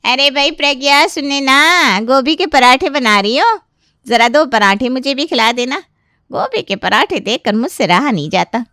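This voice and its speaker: A woman's voice: high voice, expressive, normal speed, sharp tone, no echo, clean studio sound, pitched above the performer's own voice. A woman voicing a nosy, greedy middle-aged auntie in a children's cartoon story. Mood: wheedling.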